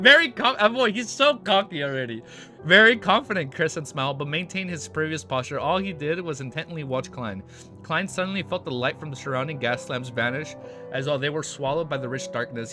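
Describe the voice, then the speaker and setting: Hoarse man reading a novel on stream. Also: a little high. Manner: reads steadily, then breaks off into excited asides. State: hoarse, losing his voice from a cold. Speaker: livestreamer. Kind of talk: reading a novel aloud with live reactions. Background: background music.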